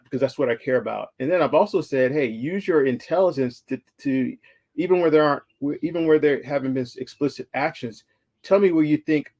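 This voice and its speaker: A man speaking at a virtual summit, walking through slides in a relaxed, conversational way. His voice sits at a middle pitch with a gravelly, creaky texture. He talks through a call microphone and often stops to restart his sentences. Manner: conversational, frequent fillers and restarts. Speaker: conference speaker. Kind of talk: presenting slides in a virtual talk.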